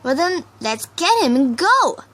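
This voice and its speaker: A brash young pegasus mare voiced by a woman reading a story, hungry for adventure and quick to get impatient. Her voice sits high and swings widely, with a cocky, dramatic edge. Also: big pitch swings, fairly bright tone, heavily acted. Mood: impatient, eager.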